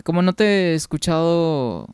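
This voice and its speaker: A man's voice: on the high side, very animated, a little slow, a little mellow, clean tone, no room sound, studio quality. A young man from Peru, chatting casually with viewers during a game stream, rambling along and answering their comments.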